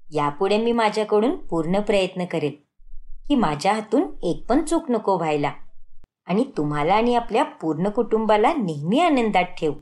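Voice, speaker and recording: A woman's voice: medium pitch, sing-song, normal speed, a little mellow, close to an everyday voice. A woman voicing a polite, dutiful young daughter-in-law in a cartoon moral story. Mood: grateful.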